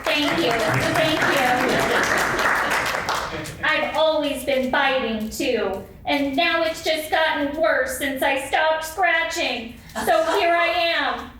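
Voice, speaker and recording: A high, slow-talking puppet cat, played by a woman, confesses with a halting, confused manner. It sounds far off in a very echoey room with faint noise. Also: crowd noise. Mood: sheepish, troubled. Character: pet cat who compulsively bites, confused, confessing. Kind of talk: amateur sock puppet show.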